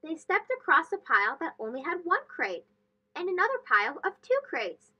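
A young woman reading a children's picture book aloud in a gentle, expressive, slow and clear way, recorded in her bedroom. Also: extremely high pitch, muffled recording. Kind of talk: read-aloud storytelling for children. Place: bedroom with bookshelves and plush toys, indoors.

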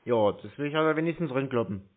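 A man's voice: mid-range voice, animated, fast. A man talking bluntly in short, colloquial, slangy replies during a phone conversation. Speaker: scam-baiting call recipient. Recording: tinny band-limited phone line.

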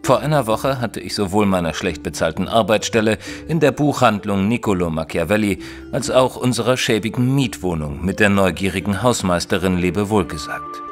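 An actor playing a nineteenth-century bookseller who tells his detective adventures in a measured, literary way. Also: on the low side, lightly acted. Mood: measured storytelling. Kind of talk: scripted first-person audio-drama narration. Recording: studio voice over light music.

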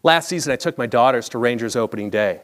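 An American man in his forties reads a scripted personal story on stage in a measured, polished broadcaster-like delivery. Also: medium pitch, lively intonation, a bit bright. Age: 40s. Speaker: storyteller, former baseball broadcaster. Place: theatre stage, indoors, at a lectern.

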